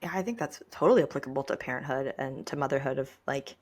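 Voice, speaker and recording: A woman's voice: very deep voice, quick pace. A woman in her thirties co-hosting a podcast, chatting informally and candidly with a self-deprecating way of talking. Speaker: podcast co-host. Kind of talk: casual chat.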